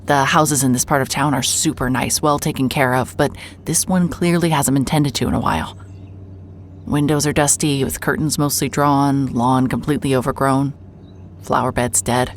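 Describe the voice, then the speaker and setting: A low-pitched female private investigator, carries this mystery audio drama. She is hard-boiled yet nervy, with a wry, muttering way of talking to herself. Ambient noise sits behind her voice. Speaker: lead actor and narrator of an audio drama. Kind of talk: cinematic audio drama, first-person noir narration and case dictation.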